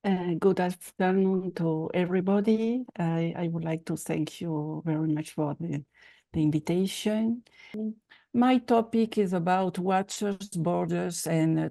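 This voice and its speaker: A woman's voice: lowish voice, gravelly, mellow. A woman giving an academic presentation as guest lecturer, speaking hesitantly with many filler sounds. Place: conference session.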